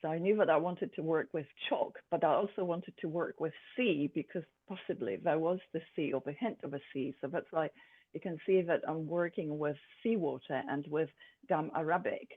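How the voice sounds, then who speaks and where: A speaker born in Poland, an artist presenting her own work in a thoughtful, halting way with many pauses and fillers. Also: mid-range voice, breathy edge. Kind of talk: art presentation to a group. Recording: tinny phone-like sound.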